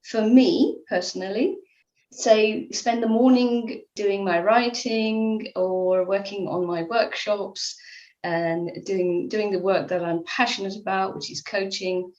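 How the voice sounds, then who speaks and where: A woman's voice: medium pitch, steady tone, slow pace. A middle-aged woman from England, answering interview questions in a reflective, conversational way, often restarting her sentences. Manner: reflective, with frequent restarts and soft laughs. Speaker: author and life coach guest. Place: at home in Spain, remote call. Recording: echoey room, slightly dull line.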